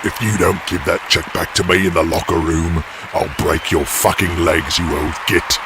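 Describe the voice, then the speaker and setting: A man's voice: low-pitched, steady tone, normal speed, a crowd in the background, background noise. A man playing a boastful, menacing giant pro wrestler in an audio drama. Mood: threatening.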